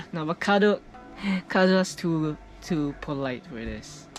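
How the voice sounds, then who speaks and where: A young adult woman giving casual, playful, excitable commentary as a streamer reacting to a game. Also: low voice, slow pace. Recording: game music behind the voice.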